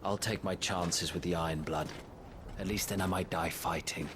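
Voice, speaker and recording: A man's voice: low-pitched, fairly even intonation, normal speed, heavy background noise, close to an everyday voice. An actor playing a commanding male army sergeant in a video game. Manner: curt and commanding. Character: hard-nosed male imperial army sergeant. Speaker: imperial sergeant. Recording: game music under the voice.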